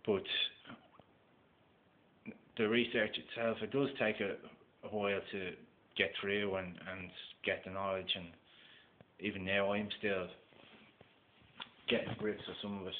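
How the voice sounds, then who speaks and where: A Dublin Irish man talks in a deep, monotone voice, stopping and restarting often as he explains things at a table indoors. The sound is thin and phone-like, with a faint hiss behind it. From Dublin, Ireland. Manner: halting, many fillers and restarts. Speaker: gold prospecting vlogger. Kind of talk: casual instructional talk to camera. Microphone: phone camera. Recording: tinny lo-fi phone recording.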